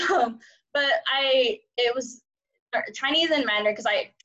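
A woman's voice: high-pitched, measured pace, fairly bright tone, lo-fi sound. A young woman in her twenties whose first language is Cantonese, chatting in an interview with a rambling, upbeat manner, laughing often. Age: 20s. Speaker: journalism student guest. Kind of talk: casual interview chat.

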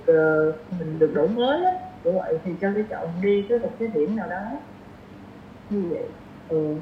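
A woman in her twenties with a southern Vietnamese accent, chatting casually as a podcast guest, hesitant and thinking aloud. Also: medium pitch. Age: 20s. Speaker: podcast guest, young doctor. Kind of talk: casual chat. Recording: roomy echo, dull sound, light noise.

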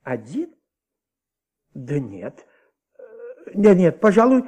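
An anxious, sleepless husband giving witness in a Soviet radio drama. The voice is high and mellow, swinging widely in pitch. He is talkative and fussy, hesitating and repeating himself. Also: wildly swooping pitch, takes it slowly, full character voice. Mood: hesitant, earnest.

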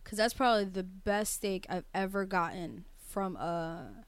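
A young woman with a smooth, clear voice and a dry, close sound. She co-hosts a podcast and tells anecdotes in a chatty, animated, profane way. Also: mid-range voice, a little slow, no room sound. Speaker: podcast co-host. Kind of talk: casual chat.

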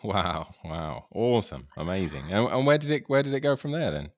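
Host: man with a very low voice, upbeat and eager, stumbles into his questions, dry close sound. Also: lively intonation, a little fast, dry recording. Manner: enthusiastic, repeats words when starting questions. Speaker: podcast host. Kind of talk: interview hosting.

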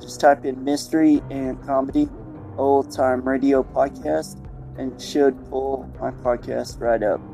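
A man hosting a podcast, giving a scripted outro in deliberate, halting phrases over guitar music. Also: mid-range voice, flat delivery, gravelly, mellow, poor audio. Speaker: podcast host.

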